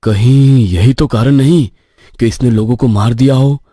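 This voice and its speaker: A man's voice: mid-range voice, normal speed, mellow, dry recording, clean studio sound, close to an everyday voice. A man playing a nervous, sceptical young stationmaster from the city in a cartoon horror story. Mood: placating, wary.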